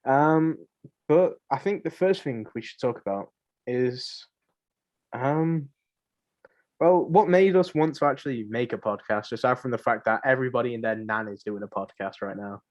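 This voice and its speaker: A man's voice: mid-range voice, moderate pace, dull audio. A young man co-hosting a podcast in casual banter, joking and rambling, with slangy phrases he often repeats. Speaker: podcast co-host.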